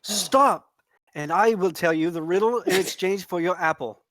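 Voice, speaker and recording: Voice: mid-range voice, moderate pace, crowd noise, close to an everyday voice. A performer at a table read playing an earnest, timid servant who speaks properly and deferentially. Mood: anxious. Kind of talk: table read of a comedy fantasy script.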